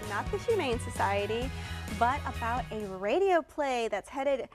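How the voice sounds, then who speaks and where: A woman with a high voice and an American accent, hosting a local interview show. She speaks in a conversational broadcast style, with music in the recording. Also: animated, unhurried.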